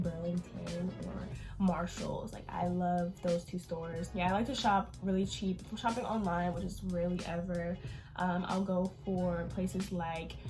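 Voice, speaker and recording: An eighteen-year-old woman vlogger with a nasal, congested voice from allergies, chatting casually through a Q&A. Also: on the low side. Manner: chatty, informal, lots of fillers. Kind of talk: casual Q&A chat to camera.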